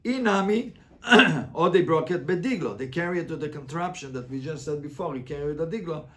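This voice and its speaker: Male rabbi, fairly high voice. Interactive Talmud lesson, phrase-by-phrase translation, animated repetition and rhetorical questions. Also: a little fast. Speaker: rabbi teaching a Talmud class.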